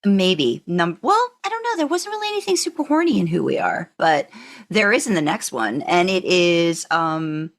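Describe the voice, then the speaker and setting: A woman's voice: lowish voice, big pitch swings, moderate pace. A woman co-hosting a podcast, talking casually and emphatically as an enthusiastic fan. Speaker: podcast co-host.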